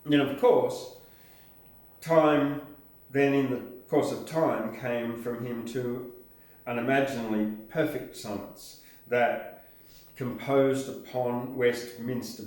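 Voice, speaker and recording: Older male presenter, unhurried and deliberate, reading aloud in a very echoey room. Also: mid-range voice, a little mellow. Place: small room indoors. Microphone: camera a short distance away.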